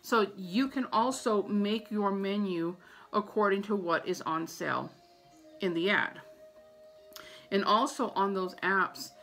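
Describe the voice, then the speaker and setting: Creaky-voiced American woman, chatty and informal. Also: mid-range voice, slow, fairly bright tone, soft background music. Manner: chatty, informal, repeats points for emphasis. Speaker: vlogger giving household tips. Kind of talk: casual advice vlog to camera. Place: small room at home, indoors. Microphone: phone or tablet camera at about arm's length.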